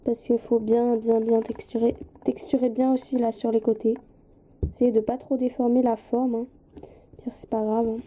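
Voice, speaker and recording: A woman's voice: fairly high pitch, little pitch movement, normal speed, mellow, slight background noise. A woman with a slight head cold giving a casual hands-on craft tutorial, informal and hesitant, often apologizing as she goes.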